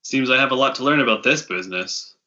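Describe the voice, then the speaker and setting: Man reading an earnest pirate newcomer, bright voice. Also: medium pitch, quick pace, lo-fi sound. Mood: curious.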